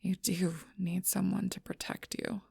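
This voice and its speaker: A woman playing a gruff, stubborn, protective female alpha werewolf in an intense audio roleplay, blunt and often hushed, with a husky voice. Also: fairly low pitch, little pitch movement, measured pace, no echo, clean studio sound, exaggerated voice. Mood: hushed, grim. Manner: intense, blunt, swearing, often dropping to whispers.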